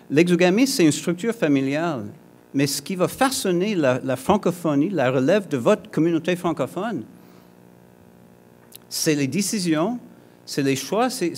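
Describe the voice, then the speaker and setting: A man's voice: fairly high pitch, darkish tone. A man from Alberta whose first language is English, giving a conference talk in a conversational way, with restarts and joking asides to the audience. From Alberta, Canada. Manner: hesitant, frequent restarts and fillers. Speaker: conference speaker. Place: conference hall.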